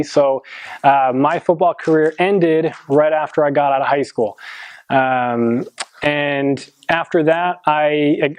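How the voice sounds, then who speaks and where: Twenty-something guy pumping up a high school team, lots of ums, kinda high voice, dry close sound. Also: a little mellow, no room sound. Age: 20s. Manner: earnest, many ums and restarts. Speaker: motivational speaker.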